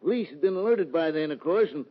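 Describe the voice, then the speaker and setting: A man's voice: on the high side, expressive, fast. A man playing an obliging, matter-of-fact ship's captain in an old radio drama, plain-spoken and helpful. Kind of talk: 1950s radio drama acting. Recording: muffled old radio recording, long reverb.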